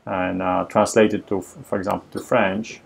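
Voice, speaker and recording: A man with a Polish accent, presenting a live coding workshop, talking haltingly with many fillers and restarts. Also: low-pitched, level tone, creaky, a little hiss or hum. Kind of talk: live coding demo lecture.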